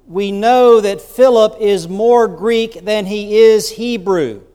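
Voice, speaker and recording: A man's voice: high-pitched, clean tone. A man preaching a sermon as bishop, telling the story in a conversational way with rhetorical asides. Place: church sanctuary. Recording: long church reverb.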